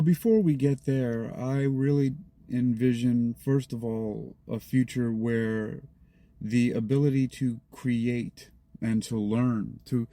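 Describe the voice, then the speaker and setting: A man answering interview questions in a conversational, deliberate, explanatory way, laying out points step by step. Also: dark tone, no echo. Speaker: interview guest, creator of audio dramas. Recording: noisy recording with vehicle-like rumble.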